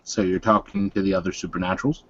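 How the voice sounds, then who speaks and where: A man roleplaying a blunt, solemn werewolf mentor in a tabletop game, speaking frankly and with measured words. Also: low-pitched, little pitch movement, fairly bright tone. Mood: probing. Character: grave, blunt male werewolf mentor, clove smoker. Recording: dull, dry lo-fi voice recording.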